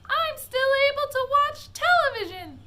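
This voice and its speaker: A woman voicing a tiny, bratty boy shrunk to an inch tall, with a shrill, squeaky, whining voice. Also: big pitch swings, moderate pace, bright, forceful tone, heavily acted. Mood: defiant.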